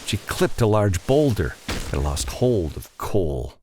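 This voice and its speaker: A male narrator reads scripted fiction in a deep, creaky voice. His pitch moves expressively as he tells the story, and there is faint noise in the recording. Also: big pitch swings, a little slow, darkish tone. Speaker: audiobook narrator.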